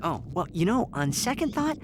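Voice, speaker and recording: An actor playing an awkward, plucky young alien hero with a casual, hesitant, boyish way of talking in a cartoon video game. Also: male voice, high voice, big pitch swings, normal speed, background noise. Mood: wry.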